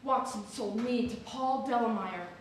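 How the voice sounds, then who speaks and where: A woman's voice: a little high, measured pace, natural voice. A woman giving a dramatic reading as a formerly enslaved woman searching for her family. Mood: solemn, longing. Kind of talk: dramatic reading of a historical newspaper ad. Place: theatre or hall, recorded from a distance. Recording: echoey hall from far off, faint noise.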